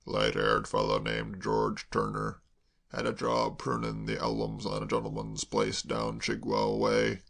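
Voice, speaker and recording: A man voicing a mournful, doom-laden male servant, speaking slowly and heavily. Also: animated, creaky, a bit bright, exaggerated voice. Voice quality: deep, slow, heavy. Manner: mournful, dwells on disasters. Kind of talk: audiobook character voice.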